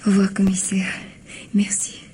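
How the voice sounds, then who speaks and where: An actress as a proud, guarded widow. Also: female voice, mid-range voice, completely flat delivery, moderate pace, whispered, natural voice. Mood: anxious, polite. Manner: formal, clipped, dignified replies. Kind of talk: French radio mystery drama acting. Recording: faint background noise.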